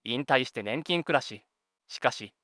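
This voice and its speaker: A man's voice: mid-range voice, expressive, a little slow, fairly bright tone, no echo, clean studio sound. A young man playing an earnest junior detective in an audio drama, politely complaining to his senior and often exasperated. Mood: grumbling, puzzled.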